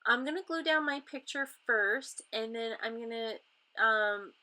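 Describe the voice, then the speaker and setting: Middle-aged woman, bright voice, nasal from a cold, rambling casual craft narration. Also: a little high, rough recording. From Canada. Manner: chatty, rambling, many ums. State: stuffy nose from a cold. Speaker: craft vlogger.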